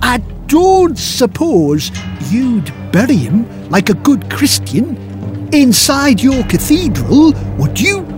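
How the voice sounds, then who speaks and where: A wheedling Viking sidekick, put on by a male storyteller. He speaks high and singsong, swinging through exaggerated mock-innocence like a sly storybook rogue. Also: huge pitch swings, slow pace, over music, exaggerated voice. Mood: fake innocent.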